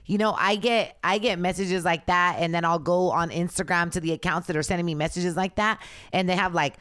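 A woman with a raspy voice co-hosting a podcast, chatting bluntly and candidly and slipping into Spanish now and then. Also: fairly low pitch, steady tone, brisk, fairly bright tone, no room sound, clean studio sound. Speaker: podcast co-host. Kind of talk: casual chat.

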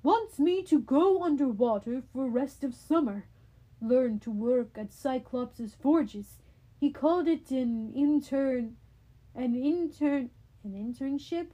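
A woman voicing a big gentle young cyclops who talks in slow, simple, halting sentences. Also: high-pitched, animated, heavily acted, poor audio. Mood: earnest.

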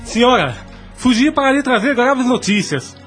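An actor playing a loyal, anxious servant in a radio soap opera, urgent and deferential. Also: male voice, extremely high pitch, very animated, normal speed, fairly bright tone, faint music behind, full character voice. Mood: urgent, fearful. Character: loyal, anxious servant bringing grave news.

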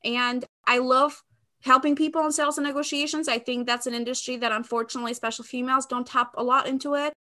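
This is a woman of about thirty who grew up in Albania and speaks English with an Albanian accent. Her voice sits high and has a bright, forceful tone. She talks in an expressive, conversational way, like a guest explaining her career. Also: fast talker. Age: around 30. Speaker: podcast guest, sales expert. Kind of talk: conversational interview answers.

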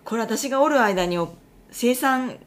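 A woman tells a story in casual conversation with a central Japanese dialect. Her pitch moves up and down freely, and she slips into quoted remarks as she goes. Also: a little slow. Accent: central Japanese regional dialect (Tokai-Kansai). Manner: animated storyteller who acts out quoted remarks. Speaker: wife telling about her side job.

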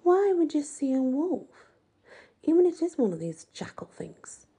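A woman's voice: high-pitched, sing-song, moderate pace, warm tone, pitched above the performer's own voice. A woman voicing a stern, impatient, demanding woman who leads a teen therapy group in an audiobook. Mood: stern, exasperated. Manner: probing, demanding.

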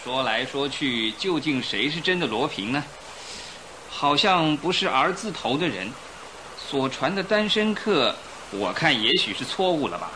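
An actor in a radio drama playing a reflective, opinionated male ship passenger who speaks deliberately. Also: a little high, big pitch swings, background noise. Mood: musing. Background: music.

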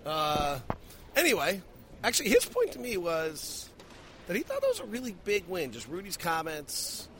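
A man's voice: sits high, huge pitch swings, moderate pace, a bit bright, slight background noise. A man hosting a sports postgame podcast, talking emphatically as a broadcaster does. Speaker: podcast host, radio play-by-play broadcaster.

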